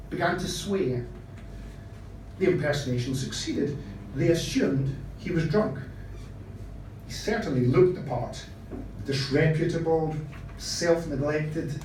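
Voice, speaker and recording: A man reading a short story aloud at a live event, in a deliberate, theatrical storytelling manner. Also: a little high, a little mellow. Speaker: reader of short fiction at a live literary event. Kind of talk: dramatic scripted story reading. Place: live event in a social room. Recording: echoey room, distant mic, faint noise.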